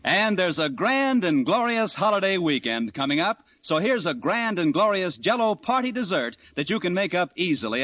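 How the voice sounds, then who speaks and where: A man's voice: on the high side, fairly bright tone, no room sound, lo-fi sound. A man with an American accent announcing in cheery, polished 1940s radio salesman style on an old recording.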